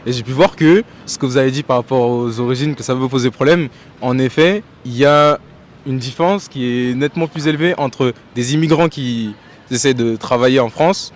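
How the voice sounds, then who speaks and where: A man's voice: medium pitch, moderate pace. A man hosting a street interview outdoors in Paris, asking passersby casual, probing questions with informal follow-ups.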